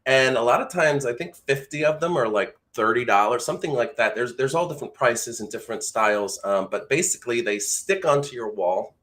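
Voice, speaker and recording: A man's voice: medium pitch, expressive, fairly quick, few pauses, a bit bright. Man giving an informal voice-over lesson. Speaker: voice-over instructor. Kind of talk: informal instructional talk.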